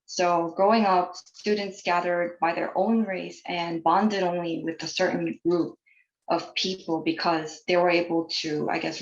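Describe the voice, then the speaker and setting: A young woman from New York speaks as a panelist, earnest and reflective in her prepared remarks. Her voice sits a little low. The call audio is roomy and echoey, with a muffled, narrow sound. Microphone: video call.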